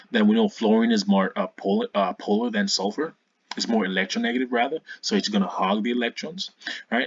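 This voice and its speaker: A man's voice: on the low side, husky, no echo, dull audio. A man giving an informal science tutorial as a video host, hesitant, often repeating and correcting himself.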